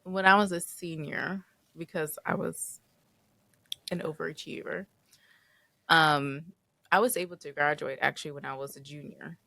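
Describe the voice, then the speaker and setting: Playful young woman, low and dry. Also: steady tone, gravelly, sharp tone, dry recording. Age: 20s. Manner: playful, teasing banter with quick laughs. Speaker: podcast co-host; public school teacher. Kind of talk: casual chat.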